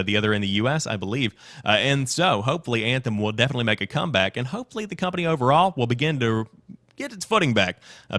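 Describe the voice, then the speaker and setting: A man's voice: medium pitch, a bit bright. A man hosting a gaming news show, mixing read-out news with his own off-the-cuff commentary.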